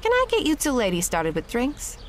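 A woman's voice: on the high side, huge pitch swings, a little fast, slight background noise. A woman playing a nosy café waitress in an audio drama, with a singsong customer-service delivery. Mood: chirpy, teasing.

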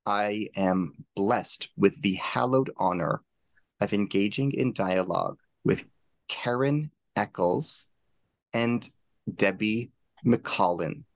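A man's voice: lowish voice, vocal fry, dry recording. A man hosting a podcast, introducing his guests in a formal, ceremonious way. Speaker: podcast host.

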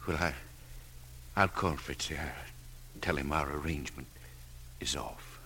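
An actor playing a devoted, anxious old-time servant in a vintage radio drama, halting, earnest and deferential. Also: male voice, rumbling low, sharp tone, husky, exaggerated voice. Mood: hesitant. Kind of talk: 1940s-50s American radio drama acting.

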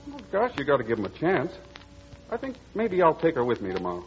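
An actor playing an earnest young stepson back from the navy, in 1940s radio acting style. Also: male voice, on the high side, wildly swooping pitch, fast. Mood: casual, earnest. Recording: dull old radio recording, faint music.

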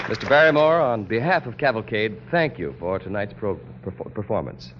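A man's voice: fairly low pitch, expressive, moderate pace, a crowd in the background, noisy background. An American man announcing a radio show in a formal 1940s style. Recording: dull 1940s radio broadcast, music behind.

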